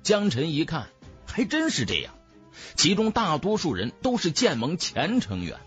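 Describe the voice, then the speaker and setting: A man's voice: fairly high pitch, huge pitch swings, moderate pace, fairly bright tone. A man narrating a fantasy audiobook in a dramatic, scripted storytelling style. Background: background music.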